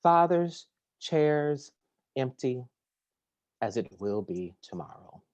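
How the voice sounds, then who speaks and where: Male poet, home video-call reading; slow, deliberate delivery, mid-range pitch, halting intros. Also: very animated, a little mellow, lo-fi sound. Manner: slow, measured reading with hesitant filler-laden introductions. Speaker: poet reading at a virtual series. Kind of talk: poetry reading. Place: home kitchen or similar domestic room.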